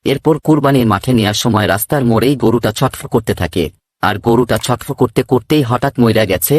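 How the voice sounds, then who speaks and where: A man's voice: medium pitch, a little fast, slightly rough, no echo, natural voice. A man playing an alarmed animal servant in a cartoon, urgent and anxious. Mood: panicked. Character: alarmed servant animal reporting to his master. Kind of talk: Bengali cartoon dialogue.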